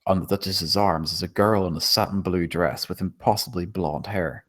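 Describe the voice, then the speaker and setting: Low-voiced man narrating a script. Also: normal speed, a bit bright. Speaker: narrator reading stage directions.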